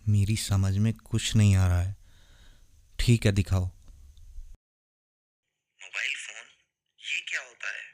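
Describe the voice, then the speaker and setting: A man's voice: lowish voice, takes it slowly, long pauses, a little mellow, no echo. A man playing a naive, intense and protective young vampire boyfriend in a romantic ASMR audio drama, earnest and dramatic. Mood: bewildered, agitated. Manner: earnest, dramatic, puzzled questioning.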